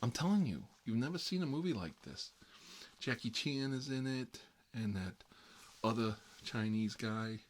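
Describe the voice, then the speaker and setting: A man's voice: mid-range voice, animated, a little slow, close to an everyday voice. A man with an American accent playing a chatty, hapless fellow who lives with his mother, rambling in folksy, opinionated small talk. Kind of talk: improvised roleplay monologue.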